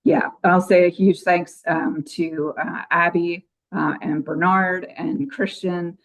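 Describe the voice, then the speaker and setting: A woman's voice: on the low side, steady tone, takes it slowly. A woman on a commission, her voice a little scratchy, giving a grant and project update with many ums at a public meeting. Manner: frequent ums, apologetic. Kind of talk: meeting report.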